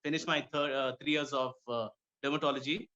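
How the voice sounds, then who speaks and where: A man's voice: mid-range voice, fairly even intonation, brisk, sharp tone. A man from Kuwait speaking conversationally as a dermatologist guest in an interview. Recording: dull lo-fi audio.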